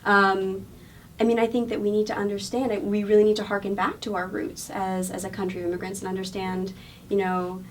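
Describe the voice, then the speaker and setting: A woman's voice: medium pitch, level tone, brisk, distant mic, slight background noise. A woman with a non-local accent answering interview questions in an earnest, explanatory way, often repeating words as she thinks. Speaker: guest.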